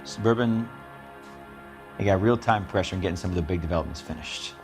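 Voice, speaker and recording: A man's voice: sits low, brisk, music in the background. A man playing a slangy, defensive working-class repairman under police questioning in a video game, evasive and indignant. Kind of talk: dramatic video game interrogation acting.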